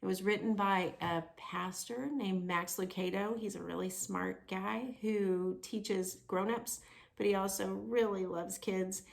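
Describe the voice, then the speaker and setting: A woman reading aloud to children in an expressive storytelling style, with deliberate emphasis on simple phrases. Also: mid-range voice, vocal fry.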